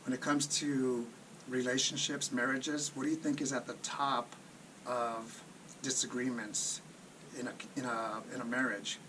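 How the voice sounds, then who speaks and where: Recorded at some distance from the mic with clear background noise, a man hosts a casual video. His voice sits at a medium pitch. He comments off the cuff, often repeating himself and asking rhetorical questions before offering plain advice. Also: little pitch movement, measured pace, bright, forceful tone. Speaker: personal finance video host.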